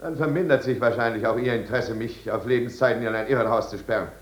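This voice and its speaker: A man's voice: medium pitch, fast talker, natural voice. A man playing a proud, anxious and embittered prisoner in a 1950s German radio drama, formal and intense. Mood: indignant. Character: anxious, proud, embittered male prisoner telling his downfall.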